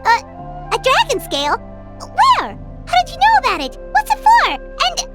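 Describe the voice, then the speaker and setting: A woman voicing a small, talkative fairy-like girl companion in a video game, squeaky and childlike, exclamatory and easily indignant. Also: wildly swooping pitch, unhurried, loud music behind the voice, exaggerated voice. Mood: flustered, puzzled. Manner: refers to self in third person, exclamatory. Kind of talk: animated video game character acting.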